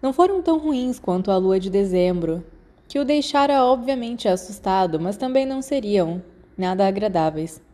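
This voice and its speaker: Mellow Brazilian woman narrating fiction. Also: mid-range voice, expressive, normal speed. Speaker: podfic narrator. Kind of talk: scripted narration, fiction audiobook.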